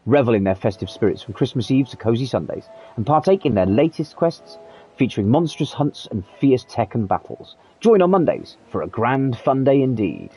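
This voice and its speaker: A man reading a grandiose scripted stream shoutout in a pompous, theatrical manner. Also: medium pitch, slightly rough, low-quality recording. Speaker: raid shoutout reader.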